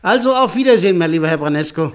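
Dude doing cold bossy newspaper boss, kinda high voice, short clipped lines. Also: male voice, lively intonation, racing, lightly acted. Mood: commanding. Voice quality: curt, commanding. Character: cold ruthless male newspaper owner, commanding. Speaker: businessman Butschan. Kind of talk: 1950s-60s radio play acting.

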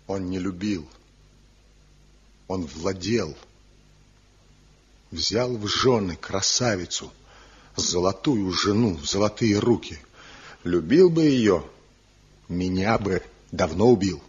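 A fervent rival master craftsman in an old radio play, his husky, breathy voice rising and falling in wide swings. He speaks slowly and theatrically. The recording is dull and lo-fi. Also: deep voice, lively intonation, heavily acted. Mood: bitter. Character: passionate rival male master craftsman, reckless. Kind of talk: 1970s radio play acting.

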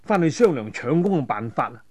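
A man voicing a watchful, resolute martial-arts hero in a told adventure story, speaking in a measured, advising way. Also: fairly high pitch, sing-song, darkish tone, breathy edge. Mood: resolute, hushed.

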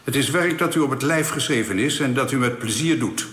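A man playing a composed, formal official of an alien planet in a science fiction radio drama, speaking in a measured, matter-of-fact way. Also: mid-range voice, fairly bright tone, lightly acted. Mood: matter-of-fact, authoritative. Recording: echoey hall-like reverb.

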